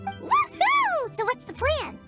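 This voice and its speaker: A woman voicing a tiny, excitable female companion creature in a video game, with a squeaky, bouncy voice. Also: slow, loud music behind the voice, heavily acted. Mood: bubbly.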